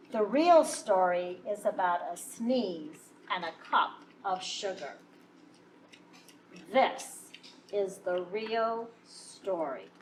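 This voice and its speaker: A woman voicing an aggrieved, folksy male wolf who insists he is innocent, in an animated children's storybook read-aloud with drawn-out emphasis. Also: on the high side. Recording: echoey room, distant mic, faint noise.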